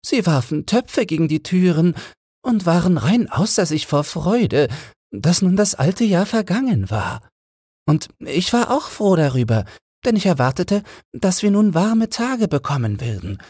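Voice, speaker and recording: Piepsig hohen, missmutigen kleinen Spatz. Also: male voice, fairly high pitch, very animated, unhurried, darkish tone, slightly rough, no room sound, clean studio sound, pitched above the performer's own voice, heavily acted. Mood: grumpy, complaining. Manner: chirpy, complaining. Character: small, frozen, grumpy chattering sparrow. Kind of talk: fairy-tale audiobook character voice.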